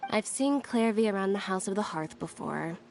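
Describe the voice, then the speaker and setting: A woman voicing a cool, blunt young sister in a video game, with a terse, deadpan delivery. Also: medium pitch, moderate pace, a little hiss or hum, close to an everyday voice. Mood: coolly firm.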